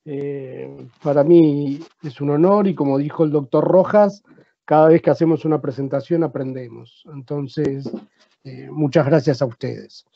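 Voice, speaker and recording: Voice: mid-range voice, measured pace, creaky, highs cut off. Argentine veterinarian giving a technical talk. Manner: explanatory, asks the audience rhetorical questions. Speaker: veterinarian speaker. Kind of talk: technical presentation at a seminar.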